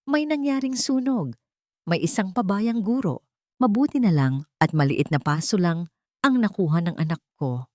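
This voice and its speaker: A woman's voice: mid-range voice, lively intonation, normal speed, dark tone, no echo, lightly acted. A narrator plays a scheming grown-up sister from a storybook. She sounds falsely sweet and nostalgic, full of insinuation, in a dry, close recording. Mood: feigned concern. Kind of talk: audio storybook character dialogue.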